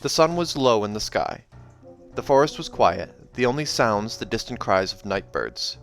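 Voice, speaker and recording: A man's voice: medium pitch, expressive, normal speed. A man hosting a read-aloud, narrating a fantasy novel and dropping in casual asides. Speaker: host reading a novel aloud. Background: background music.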